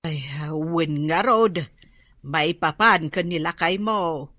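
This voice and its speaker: A woman's voice: on the low side, slow, sharp tone, no room sound, clean studio sound. A woman acting an aggrieved, gossipy village daughter in an Ilocano radio comedy drama, with an Ilocano accent and exclamatory delivery.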